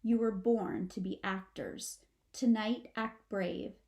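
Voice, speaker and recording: A woman's voice: mid-range voice, fairly even intonation, takes it slowly, darkish tone. A woman voicing a mother possum in a storybook, a firm but wistful parent with a stagey, instructing manner. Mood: solemn, urging.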